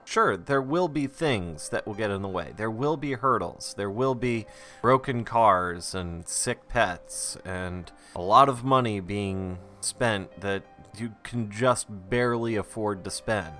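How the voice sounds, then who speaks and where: Casting: male creator for an audio diary, low but bright, forceful voice, conversational and self-deprecating, music behind. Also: slow pace. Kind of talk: casual rambling audio log.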